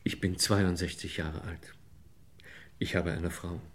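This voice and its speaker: An older clerk in deep trouble, in a vintage radio crime play. His low voice is halting and apologetic, pleading desperately as he explains himself. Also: fairly even intonation, slight background noise. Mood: meek, resigned. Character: desperate, pleading 62-year-old male clerk confessing embezzlement. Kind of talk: mid-century German radio crime drama acting.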